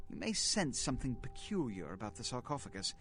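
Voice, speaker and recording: An imperious vampire prince. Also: male voice, medium pitch, sing-song, brisk, a bit bright. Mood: briefing. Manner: formal, condescending, precise diction. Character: cold, imperious vampire prince, smooth businessman.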